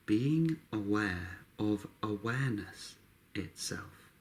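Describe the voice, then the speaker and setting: A man guiding a meditation, speaking calmly in short, unhurried phrases with long pauses between them. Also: fairly low pitch. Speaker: meditation guide. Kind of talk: guided meditation narration.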